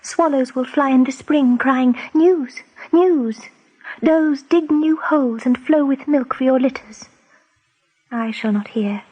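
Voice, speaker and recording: An actress playing a gentle, despairing young female rabbit in a radio play, plaintive and poetic. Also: high voice, takes it slowly, slightly rough, highs cut off, exaggerated voice. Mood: mournful, plaintive.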